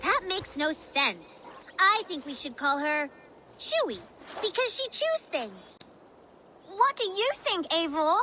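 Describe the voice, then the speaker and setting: Bold game kid, cheerful and insistent, measured delivery, high voice, faint noise behind. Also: female voice, very animated, bright, forceful tone, heavily acted. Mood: playful. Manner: insistent, confident, argumentative. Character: bold, bossy, cheerful little Viking child.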